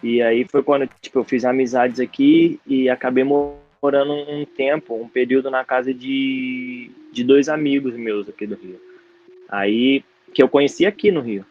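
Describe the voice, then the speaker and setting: A man's voice: medium pitch, steady tone, moderate pace, faint music behind. Easygoing young Brazilian man, lo-fi. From Brazil, Brasília. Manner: easygoing, slangy. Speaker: guest footballer. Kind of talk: casual interview chat. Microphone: video call.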